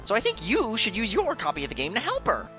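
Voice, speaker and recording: A woman playing an excitable, easily exasperated teenage boy with an animated, emphatic delivery in a webcomic voice drama. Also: fairly high pitch, fast talker, faint music behind. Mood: exasperated, urgent. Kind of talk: dubbed webcomic dialogue acting.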